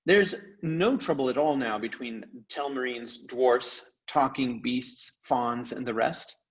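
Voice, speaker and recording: Man playing an animated, confident young king. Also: on the high side, dull audio, voice pushed up. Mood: proud, cheerful. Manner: animated, declamatory. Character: young king, confident, eager, noble storybook seafarer.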